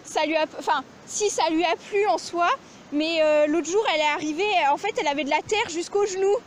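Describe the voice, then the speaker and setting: A woman's voice: very high-pitched, brisk, slight background noise. A woman talking casually and informally as a vlogger, in a chatty way. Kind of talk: casual vlog chat.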